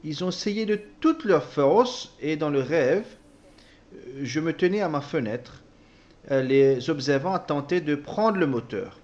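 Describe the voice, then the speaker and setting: A man interpreting a personal testimony, speaking haltingly with repeated words and self-corrections. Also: a little high, lively intonation, a little mellow, dull audio. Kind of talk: spoken translation of a personal testimony.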